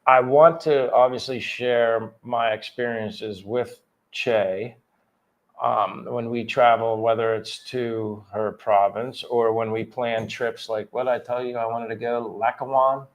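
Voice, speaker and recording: A man's voice: medium pitch, steady tone, unhurried, muffled recording. A man in his fifties from Pennsylvania, chatting earnestly and a bit ramblingly on a livestream. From Pennsylvania, USA. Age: 50s. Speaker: livestream co-host. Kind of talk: casual livestream chat.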